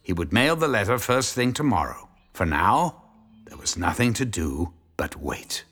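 A man narrating a dark story in a dramatic, brooding manner, letting phrases sink into a hush. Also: lowish voice, big pitch swings, unhurried, soft background music.

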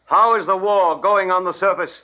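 A man plays a military colonel, cold and formal. His voice sits a little high and gives clipped commands with authority, on a muffled 1950s radio drama recording. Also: steady tone, normal speed, natural voice. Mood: commanding.

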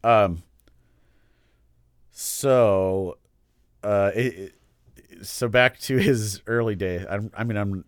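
A man's voice: fairly low pitch, takes it slowly, pauses often, smooth, dry recording, clean studio sound. A man with a stuffed-up, nasal voice co-hosting a podcast in a casual, joking, self-deprecating way. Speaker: podcast co-host discussing art. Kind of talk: casual chat.

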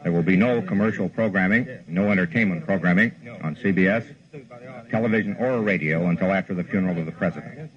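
A man's voice: low voice, quick pace. A man reading a scripted 1960s network announcement in formal broadcast style. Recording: muffled archival broadcast audio.

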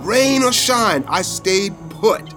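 A man's voice: high voice, expressive, slow, music in the background. An actor playing Otis, a cheerful, talkative man who calls himself a prophet, in an audio drama. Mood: storytelling. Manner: upbeat, chatty, storytelling. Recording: long hall reverb.

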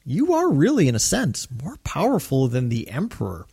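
A man's voice: a little high, huge pitch swings, moderate pace, gravelly, dark tone, slightly rough. A man co-hosting a podcast, giving casual commentary in an agreeable, explanatory way. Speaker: podcast co-host.

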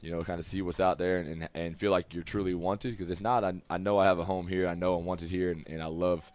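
A man's voice: sits low, flat delivery, fast talker, a little mellow, clean tone. A man in his twenties from the United States, a football player talking candidly and easily as a guest in a studio. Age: 20s. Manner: candid, easygoing, loose and rambling. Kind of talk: casual interview talk. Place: studio room with several people.

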